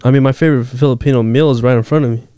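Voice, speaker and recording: Young guy on a podcast, mellow voice, jokey and loose, swears a lot, laughs at his own bits. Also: mid-range voice, steady tone, very fast, clean tone, dry recording. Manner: joking, loose, swears freely, laughs often. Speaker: podcast co-host. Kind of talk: casual chat.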